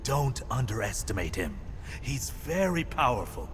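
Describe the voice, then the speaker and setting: An actor playing an earnest, cautious adviser to the hero in a fantasy game, speaking deliberately and solemnly. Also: male voice, mid-range voice, big pitch swings. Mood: cautioning. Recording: long reverb over music.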